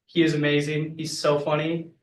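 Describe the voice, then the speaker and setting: A man's voice: on the high side, monotone, normal speed, a little hiss or hum. A young man, a college senior, casually and upbeatly describing his photos, with many fillers. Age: young adult. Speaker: student speaker. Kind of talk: casual talk about photos. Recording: echoey room, distant mic.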